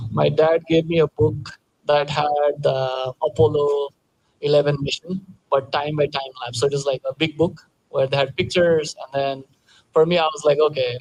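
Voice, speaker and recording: A man's voice: on the high side, darkish tone, rough recording. A young man from Nepal, an engineer speaking as a panel guest, explaining casually with many fillers. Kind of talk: panel Q&A answers.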